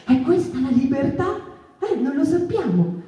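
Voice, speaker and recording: A woman storyteller of a mouse folk, wistful and searching, in a very reverberant space. She speaks slowly, halting and repeating herself as she appeals to her listeners in a theatrical monologue. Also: high voice, sing-song, warm tone. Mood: tender awe. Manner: halting, repetitive, rhetorical questions to listeners. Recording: echoey room, distant microphone.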